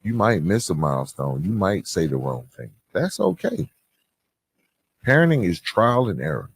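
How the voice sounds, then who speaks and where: A man's voice: deep voice, sing-song, moderate pace, vocal fry, husky. A man hosting a podcast, giving motivational advice in an earnest, conversational monologue, repeating phrases for emphasis. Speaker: podcast host. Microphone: close podcast microphone.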